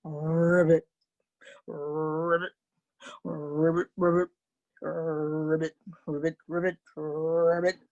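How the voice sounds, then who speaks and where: A woman voicing a wary but smooth, mellow male bullfrog in an oral fable, with deliberate, croaking delivery. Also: low-pitched, little pitch movement, muffled recording, pitched below the performer's own voice, exaggerated voice. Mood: croaking resolve.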